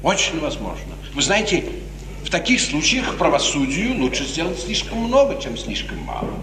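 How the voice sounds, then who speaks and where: Insinuating courtier in an echoing hall. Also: male voice, fairly high pitch, wildly swooping pitch, normal speed. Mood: coolly menacing. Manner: theatrical, formal, insinuating. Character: scheming smooth male courtier, falsely solicitous and insinuating. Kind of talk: 1950s radio theatre acting. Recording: noisy 1950s radio recording, echoing hall.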